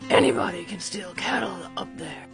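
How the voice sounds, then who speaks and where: A woman's voice: lowish voice, animated, moderate pace, creaky. A woman voicing a short, harried male ranch foreman with a drawling, defensive manner, with music behind.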